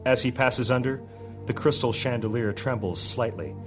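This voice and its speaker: A man's voice: medium pitch, moderate pace, over music, lightly acted. A man narrating an epic fantasy audio drama as a solemn, dramatic storyteller reading from a script. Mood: suspenseful.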